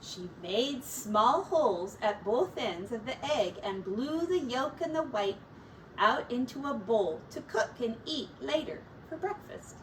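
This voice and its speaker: A woman reading a children's picture book aloud as a teacher, in a deliberate, expressive storytelling manner. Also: fairly high pitch. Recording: distant mic with faint background noise.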